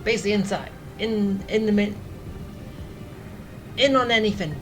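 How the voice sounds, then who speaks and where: A man's voice: sits high, moderate pace, fairly bright tone. A man giving casual, teasing, rambling commentary as they play a video game. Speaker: gamer commenting on a playthrough. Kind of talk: casual, joking gameplay commentary. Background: game music.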